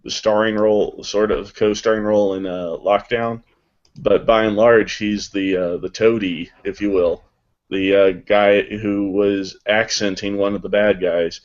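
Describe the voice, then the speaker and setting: A man, a voice actor, answering interview questions casually in a deliberate, wordy way. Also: on the low side. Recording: dull lo-fi online call audio.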